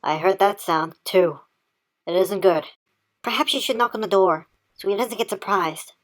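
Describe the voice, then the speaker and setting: A woman's voice: mid-range voice, moderate pace, natural voice. A woman playing an earnest, caring young female pup in an amateur audio drama. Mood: cautious.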